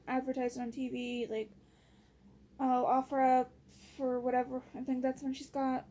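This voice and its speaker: A woman's voice: high voice, warm tone, a little hiss or hum. A woman vlogger venting casually, blunt and exasperated, in slangy everyday speech.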